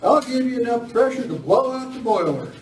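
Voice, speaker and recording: A man's voice: very high-pitched, expressive, brisk. A man playing an eager, bustling, folksy train fireman in an amateur western radio play. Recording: roomy distant live recording with noise.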